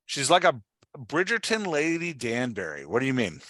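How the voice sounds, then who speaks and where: Bright-voiced man coaching, close and dry. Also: mid-range voice, wildly swooping pitch, moderate pace, dry recording. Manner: instructive, prodding with questions. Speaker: podcast host and dialogue writing coach. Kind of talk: casual one-on-one coaching talk.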